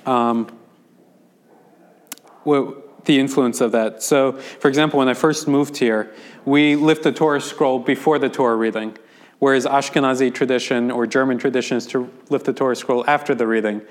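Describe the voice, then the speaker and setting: A man's voice: mid-range voice, steady tone, moderate pace. An American man, a rabbi, giving an informal lecture to a class and explaining things conversationally with frequent ums and restarts. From United States.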